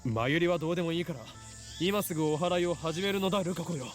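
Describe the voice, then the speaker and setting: An actor playing a bossy, grandiose young mad scientist in Japanese anime visual novel style, pompous and melodramatic. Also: male voice, fairly high pitch, very fast, faint music behind, natural voice. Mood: commanding.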